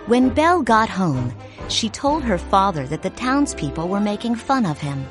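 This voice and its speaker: A woman narrating a read-along storybook in a clear, measured, expressive way. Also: medium pitch, music in the background.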